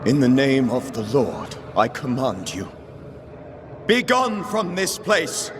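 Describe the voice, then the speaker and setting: A man's voice: medium pitch, very animated, slow pace, noisy background. An actor playing a stern, fervent clergyman who banishes spirits in a game, with imperious, declamatory delivery. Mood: commanding. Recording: echoing, music behind.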